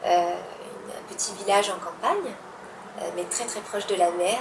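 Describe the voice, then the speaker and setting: A woman's voice: medium pitch, moderate pace, gravelly. A young woman, a dancer answering interview questions hesitantly, with many fillers and restarts. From Italy. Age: young adult. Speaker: professional dancer, interview guest. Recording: roomy distant mic with background noise.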